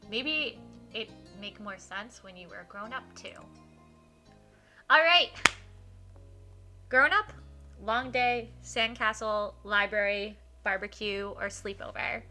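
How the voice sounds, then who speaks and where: Very bright-voiced woman reading aloud, music behind. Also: mid-range voice. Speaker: streamer reading a visual novel aloud to viewers. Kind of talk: expressive story reading with casual asides.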